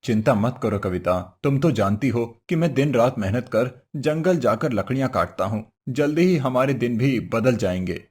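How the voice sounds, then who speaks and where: A man's voice: mid-range voice, expressive, normal speed, few pauses, a little mellow, breathy edge. A man voicing a poor, simple woodcutter husband in a cartoon story, earnest, meek and fretful. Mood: reassuring, earnest. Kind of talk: Hindi cartoon story voice acting.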